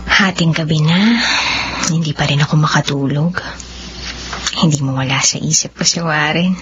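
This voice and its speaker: A woman acts a dreamy young woman in love, musing in a radio drama. Her voice is low and slow. Clear music plays behind the dull recording. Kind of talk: Filipino radio drama monologue.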